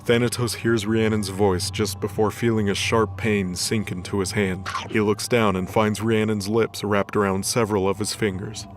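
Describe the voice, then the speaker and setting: Male narrator, lower register, scripted dramatic storytelling over clear background music. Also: level tone, normal speed, hardly any pauses.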